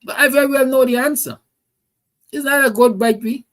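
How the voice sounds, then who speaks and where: A man's voice: extremely high pitch, fairly even intonation, normal speed, a bit bright. A male talk show host with a Guyanese accent holds forth in a political monologue. He is emphatic and opinionated, driving points home with repeated phrases and rhetorical questions.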